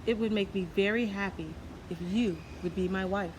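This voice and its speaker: A woman's voice: mid-range voice, fairly even intonation, normal speed, close to an everyday voice. A woman voicing a benevolent shape-shifting king in a storybook, stately and loving in manner. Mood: calm, loving. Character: benevolent shape-shifting male king, once a garden snake. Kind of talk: storybook character voice. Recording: clearly noisy background.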